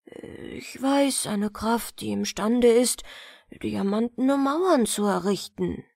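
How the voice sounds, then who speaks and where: Woman reading as slow, modest storybook lad Ivanko. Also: a little high, creaky, a little mellow, dry recording, studio quality, full character voice. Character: Ivanko, young gardener's lad, modest, earnest. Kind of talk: fairy-tale audiobook character.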